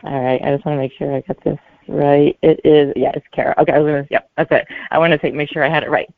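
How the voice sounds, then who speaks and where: A woman in her forties answering casually as a guest author in an interview, with a halting, hesitant delivery. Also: low-pitched, steady tone, gravelly. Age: 40s. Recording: narrow, tinny phone-like line.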